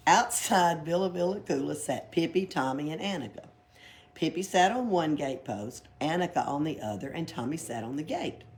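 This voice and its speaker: Animated woman reading a children's storybook. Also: deep voice. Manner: amused, unpolished delivery with slips. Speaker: library story reader. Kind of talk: children's storybook narration, read aloud.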